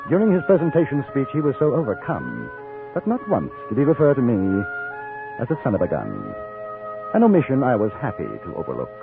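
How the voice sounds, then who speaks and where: Urbane gunman-reporter, dry wit, lively intonation, 1950s radio western, music behind. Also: mid-range voice, brisk, creaky. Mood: wry, reflective. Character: wry, urbane English newspaper reporter and gunman in Old West. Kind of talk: 1950s radio drama narration. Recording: muffled old radio recording, orchestral music.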